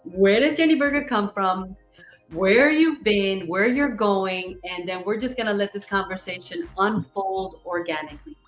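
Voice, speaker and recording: A woman's voice: medium pitch, normal speed, echoey room, faint music behind. A woman hosting a livestream interview, effusive and upbeat, asking a string of rhetorical questions. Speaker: podcast host.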